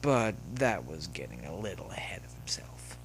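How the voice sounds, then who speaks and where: A man reading a comic story aloud as scripted, expressive narration. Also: low voice, moderate pace, slight background noise, poor audio. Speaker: narrator reading fan fiction.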